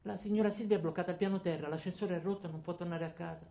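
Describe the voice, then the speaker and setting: An actor playing Alfredo, an ordinary weary man confiding his story haltingly in a theatrical radio monologue. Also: high voice, fairly even intonation, creaky, dark tone. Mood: reflective, weary. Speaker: monologue narrator. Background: music.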